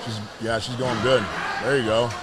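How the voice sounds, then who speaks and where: A man's voice: medium pitch, little pitch movement, moderate pace, over loud music. A man of about fifty giving casual live sports commentary. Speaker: commentator, competitive lifter. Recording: loud crowd in gym.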